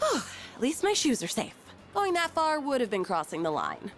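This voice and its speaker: A woman's voice: sits high, wildly swooping pitch, normal speed, faint music behind. A young woman voicing an easygoing, frank, sporty teenage schoolgirl in a video game, with casual delivery. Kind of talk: video game character dialogue.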